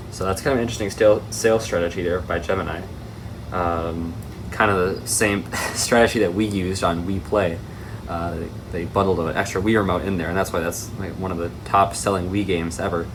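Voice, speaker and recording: A man's voice: low-pitched, noisy background. A man giving casual, rambling hobby commentary as the host of an unboxing video. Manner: rambling, tangent-prone.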